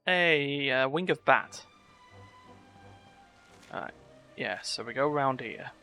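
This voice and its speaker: A man's voice: mid-range voice, lively intonation, slow, vocal fry, sharp tone. The man you'd find on a late stream, muttering tactics and blurting playful sound words over game music. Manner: playful exclamations and muttered asides. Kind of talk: live gameplay commentary. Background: video game music.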